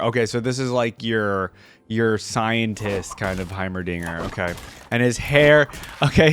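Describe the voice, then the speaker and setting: A man giving casual reaction commentary as a video creator looking over game content. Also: mid-range voice.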